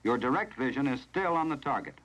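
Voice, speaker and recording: An American man reading scripted narration in the formal, measured, instructional manner of a 1970s training film, on a vintage film soundtrack. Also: medium pitch, expressive, a bit bright, rough recording.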